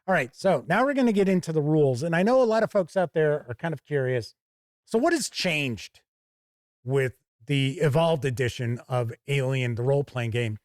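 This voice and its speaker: Male reviewer, slightly high voice, unhurried explanatory walkthrough, chatty asides, very dry close sound. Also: expressive, no echo. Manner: deliberate, explanatory, conversational asides. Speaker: reviewer and show host. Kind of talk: casual product review walkthrough.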